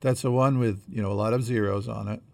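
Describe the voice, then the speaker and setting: A man co-hosting a conversational podcast, explaining things in a measured way as he thinks aloud. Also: on the low side, steady tone, vocal fry, warm tone, no room sound, studio quality. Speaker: podcast co-host.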